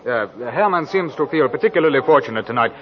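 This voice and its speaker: A man's voice: medium pitch, animated, quick pace, a crowd in the background, lightly acted. An actor playing a genial, concerned army officer in a 1940s radio drama, chummy and incredulous in his delivery. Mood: jovial. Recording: dull, band-limited 1940s radio recording.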